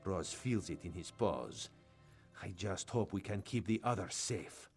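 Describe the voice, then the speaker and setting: A man's voice: deep voice, lively intonation, measured pace, darkish tone, husky, soft background music, heavily acted. A man voicing a cheerful male cat-folk rogue who talks about himself in the third person, as AI-voiced game dialogue. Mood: playful.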